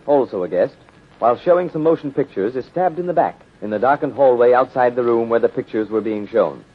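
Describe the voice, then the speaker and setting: Old timey 1930s radio announcer guy, dramatic formal narration, bit raspy, muffled recording. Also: medium pitch.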